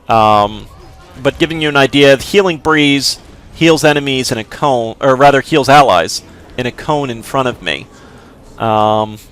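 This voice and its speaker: A man's voice: mid-range voice, a bit bright. A man casually commentating a game walkthrough, explaining as he plays, with a rambling, hedging way of talking. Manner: rambling, lots of fillers and hedges. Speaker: gameplay commentator.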